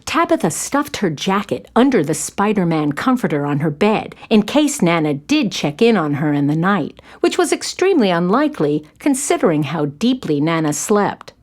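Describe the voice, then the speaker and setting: A woman narrator reading a scripted children's story in an expressive storytelling manner. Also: on the low side, moderate pace, hardly any pauses.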